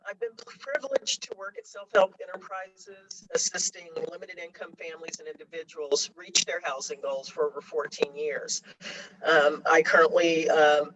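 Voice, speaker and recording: A man introduces his housing counseling work, formal and a little hesitant. His voice is somewhat high for a man. He sounds distant from the mic, in a dull lo-fi recording. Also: fairly bright tone. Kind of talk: panel introduction.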